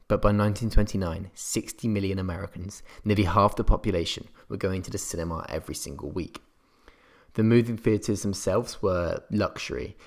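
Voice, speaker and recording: A man narrating a scripted history revision lesson as a tutor, explaining in a somewhat halting way. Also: sits low.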